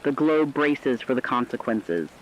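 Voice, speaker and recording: A man's voice: mid-range voice, animated, measured pace, a little hiss or hum, close to an everyday voice. A man playing a stern radio war correspondent, giving a solemn and emphatic scripted report. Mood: grave, resolute. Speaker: news correspondent. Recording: dull lo-fi radio sound.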